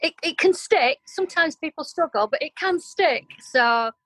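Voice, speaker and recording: High-voiced chatty Yorkshire woman. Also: expressive, normal speed, a bit bright, no room sound, rough recording. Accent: Yorkshire with some Welsh influence. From Yorkshire and North Wales, UK. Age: middle-aged. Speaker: interview guest, creative business owner. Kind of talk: conversational personal storytelling.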